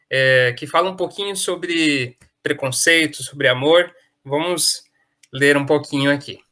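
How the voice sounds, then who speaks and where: A man's voice: fairly high pitch, bright, forceful tone. A young Brazilian man moderating an online talk, moving between informal hosting and reading verse aloud. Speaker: moderator, youth ministry coordinator. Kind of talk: informal hosting and poem recitation.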